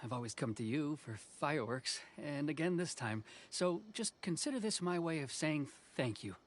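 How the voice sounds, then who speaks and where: A man's voice: on the high side, lively intonation, moderate pace, lightly acted. A man playing a polite, cautious young helper in a video game, with a hesitant, slightly stammering delivery. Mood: friendly. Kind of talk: video game character acting. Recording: faint music behind the voice.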